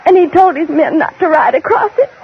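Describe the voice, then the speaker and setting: A bitter, haunted widow in an old-time radio drama. Her voice is high and halting, full of feeling, on a muffled vintage recording with faint noise. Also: expressive. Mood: anguished, haunted. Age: middle-aged. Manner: halting, emotional, repeating phrases.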